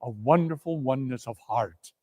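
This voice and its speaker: A man's voice: mid-range voice, moderate pace, darkish tone, in a large hall. A man reading a classic story aloud as dramatic narration, with theatrical, emphatic phrasing. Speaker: story reader and performer.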